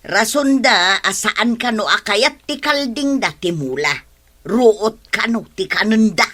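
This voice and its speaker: A woman's voice: medium pitch, animated, a little slow, fairly bright tone. A woman with an Ilocano accent playing an aggrieved, complaining villager in a comedy radio drama.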